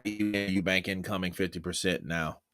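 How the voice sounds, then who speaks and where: A man's voice: deep voice, steady tone, moderate pace, a bit bright, faint music behind. A young man doing casual, profane live-stream commentary on boxing and chatting with his viewers. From Texas, United States.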